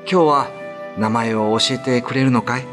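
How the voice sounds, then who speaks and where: A man's voice: medium pitch, moderate pace, music in the background, close to an everyday voice. A man playing an earnest, polite young villager in love, in a radio drama. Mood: tender.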